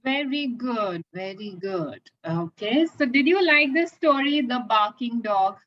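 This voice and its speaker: A woman's voice: on the high side, animated, measured pace. A woman hosting a children's read-aloud session, chatting casually and encouraging with questions over a lo-fi video call. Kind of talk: casual conversational chat with a child. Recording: dull lo-fi online call audio.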